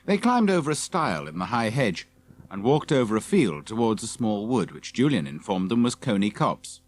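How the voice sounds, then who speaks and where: A man's voice: on the low side, big pitch swings, moderate pace. A man reading scripted narration for a children's audiobook.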